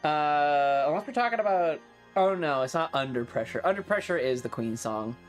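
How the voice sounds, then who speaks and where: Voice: medium pitch, lively intonation, moderate pace, faint music behind. A streamer chatting casually and live, rambling and opinionated, swearing freely and complaining with playful exaggeration. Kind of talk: casual livestream chat.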